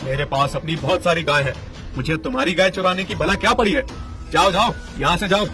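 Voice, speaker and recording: Man acting a haughty, greedy village merchant, fairly high-voiced. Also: brisk, sharp tone. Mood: angry. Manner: scornful, blustering. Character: greedy, haughty, scheming village dairy merchant, short-tempered. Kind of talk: acted children's cartoon story. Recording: music under the voice.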